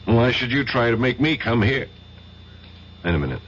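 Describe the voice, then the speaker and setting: A man's voice: medium pitch, very animated, moderate pace, fairly bright tone, breathy edge. A man playing a sardonic, wisecracking American investigator in an old radio drama. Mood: wry. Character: wisecracking American male investigator, young adult. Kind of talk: 1970s radio drama acting. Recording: dull vintage radio broadcast, faint hiss.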